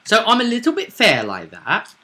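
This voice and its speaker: A man's voice: high-pitched, huge pitch swings, moderate pace, bright, forceful tone. A man chatting casually as a game master, explaining a tabletop roleplaying game and often checking for agreement with tag questions.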